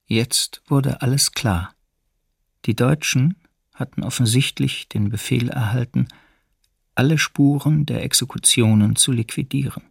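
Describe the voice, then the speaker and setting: A man's voice: mid-range voice, measured pace, gravelly, slightly rough, no echo, studio quality. An actor playing a grave, watchful male camp prisoner recounting wartime horror in a radio documentary drama. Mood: grim.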